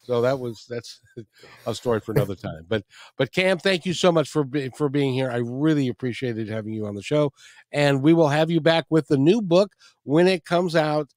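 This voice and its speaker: A man's voice: mid-range voice, normal speed, darkish tone, no room sound. A middle-aged man hosting a talk radio show, chatty and jokey, repeating phrases as he talks into a studio microphone. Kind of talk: interview and show outro. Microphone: studio microphone with headphones. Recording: dry close mic, faint music.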